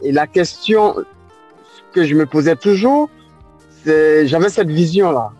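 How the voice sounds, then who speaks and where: An Ivorian-accented man in his forties talks in a slightly high voice. He answers hesitantly, filling pauses and repeating himself, while soft music plays faintly in the background. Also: lo-fi sound. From Ivory Coast. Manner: hesitant, many fillers and repeated words. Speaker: guest artist. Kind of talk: radio interview answers.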